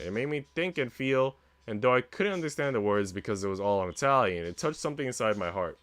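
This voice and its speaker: A man's voice: on the low side, a bit bright, clean tone. A man voicing an earnest, well-mannered young woman who narrates a visual novel in a formal, reflective way.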